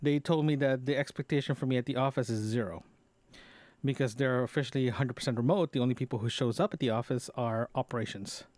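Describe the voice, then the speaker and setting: A man's voice: mid-range voice, fast, darkish tone, no room sound. A man recording a casual audio diary, talking in a rambling, conversational way and often correcting himself as he goes. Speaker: podcast host.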